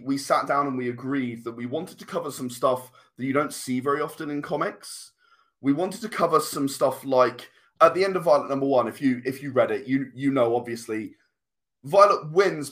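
A man's voice: medium pitch, fairly bright tone. A man speaking as an interview guest, thoughtfully explaining his creative work at length in conversation. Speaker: comic and game writer, interview guest.

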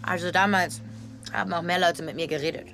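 A woman's voice: fairly low pitch, steady tone, fairly quick, close to an everyday voice. A woman playing an eccentric, menacing drifter and killer in a German screen dub, speaking in a blunt, offhand way. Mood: dreamy menace. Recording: music behind the voice.

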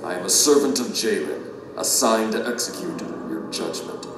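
A man's voice: on the low side, normal speed, close to an everyday voice. An actor playing a stern servant of a king who carries out judgment in an audio drama, cold and commanding. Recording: distant, echoing, loud noise.

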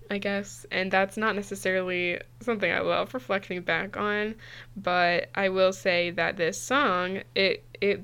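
A woman's voice: mid-range voice, fairly bright tone, clean tone. A young woman hosting a podcast, talking casually, chatty and laughing easily, often restarting her phrases. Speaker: podcast host.

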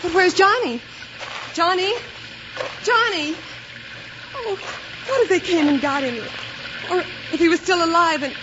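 A woman's voice: very high-pitched, unhurried, long pauses. An actress playing an earnest, anxious young frontier woman, pleading and melodramatic, in a 1950s radio western. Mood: frightened. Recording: dull, noisy old radio recording.

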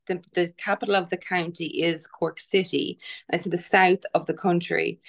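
A woman with a Dublin Irish accent, chatting casually as a guest author and telling stories with easy laughter. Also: mid-range voice. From Ireland. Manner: chatty, laughs easily, storytelling. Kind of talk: casual podcast chat.

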